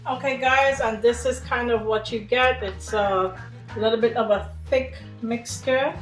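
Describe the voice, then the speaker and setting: A woman's voice: on the high side, fairly even intonation, measured pace, reverberant, music in the background, rough recording. A woman from Trinidad and Tobago hosting a home cooking demonstration, chatty and talking to viewers as friends. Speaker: cooking show host.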